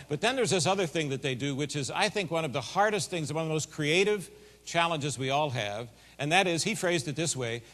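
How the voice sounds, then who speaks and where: A middle-aged man giving a keynote speech, telling a folksy, animated story in a big voice. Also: a little high. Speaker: keynote speaker. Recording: long hall reverb.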